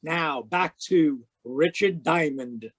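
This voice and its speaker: A man's voice: a little high, slow, fairly bright tone, dry recording, heavily acted. A man playing an upbeat, salesmanlike announcer reading a sponsor spot in an old-time radio show. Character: folksy, upbeat male radio announcer selling gum. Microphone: video call.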